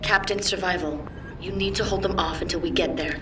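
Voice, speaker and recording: An actress playing a tough, no-nonsense squad fighter in a video game, talking bluntly and briskly. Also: female voice, on the low side, steady tone, natural voice. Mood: urgent, determined. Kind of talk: video game combat dialogue. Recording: gunfire and battle noise behind.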